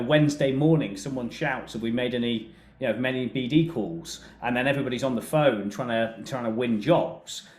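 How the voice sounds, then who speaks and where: A man presenting a software walkthrough in a webinar, explaining things in a conversational way. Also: medium pitch. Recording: roomy, echoey sound.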